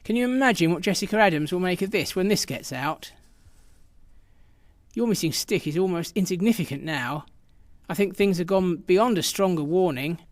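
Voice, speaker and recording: A man playing a ruthless, calm official with clipped menace in a radio drama. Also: high-pitched, normal speed, dry recording, close to an everyday voice. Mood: cool assurance. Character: ruthless man quietly arranging a killing.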